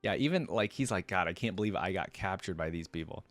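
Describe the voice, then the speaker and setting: A man with a fairly low voice hosts a reaction video, reading lore aloud and dropping in casual, slangy comments. His delivery is expressive and conversational, and the recording is very dry and close, with no room sound. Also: fast, dry recording, faint music behind.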